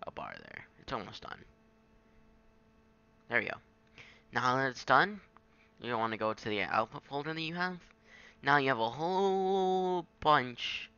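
A man giving a casual, improvised software tutorial in a halting, self-deprecating way on a home microphone. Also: mid-range voice, big pitch swings, gravelly, clean tone, muffled recording. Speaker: tutorial maker. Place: at a home computer, screen recording.

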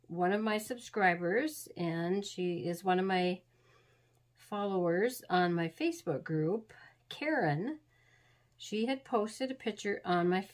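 A woman hosting a craft tutorial, talking through steps in a homey, rambling way, a little sniffly from being sick. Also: medium pitch. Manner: chatty, homey, rambling instructions. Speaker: craft channel host. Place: crafting table indoors.

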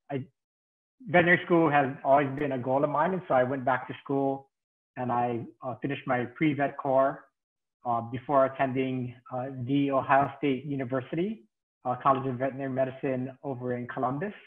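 Man on a tinny video call. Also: medium pitch. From Hawaii. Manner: hesitant, many fillers. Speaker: field veterinarian. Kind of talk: presentation over video call. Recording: tinny phone-like call audio.

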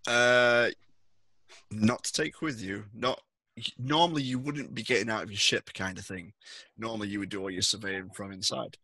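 A man's voice: lowish voice, fairly even intonation, normal speed, sharp tone, no room sound. A man acting as game master, explaining a tabletop game scenario to players in a conversational way.

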